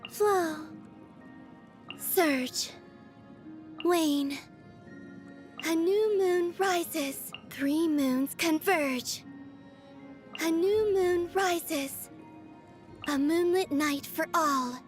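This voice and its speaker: A woman voicing a serene, otherworldly young moon maiden from a video game, soft and breathy, with a gentle, naive curiosity. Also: very high voice, soft background music, full character voice. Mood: solemn incantation. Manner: slow, dreamy, innocently curious. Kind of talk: video game character voice lines.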